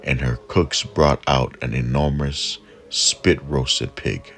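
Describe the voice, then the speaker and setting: A man narrating a folk tale in a measured, deliberate storytelling manner. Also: a bass voice, steady tone, a bit bright, soft background music.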